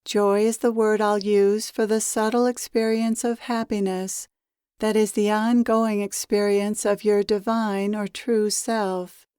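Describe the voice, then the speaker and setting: A woman leading a guided meditation as a spiritual teacher, with measured, deliberate phrasing. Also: medium pitch, warm tone, clear, resonant tone, dry recording, clean studio sound. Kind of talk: guided meditation, spoken as a channeled message.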